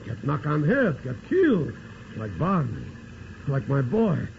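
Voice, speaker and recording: A man's voice: on the high side, huge pitch swings, measured pace, vocal fry, slight background noise, heavily acted. An actor playing a frail, stubborn old immigrant miner father who speaks broken English and coughs with a chill. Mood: worried. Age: older. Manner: broken English, insistent and hospitable. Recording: muffled old radio broadcast.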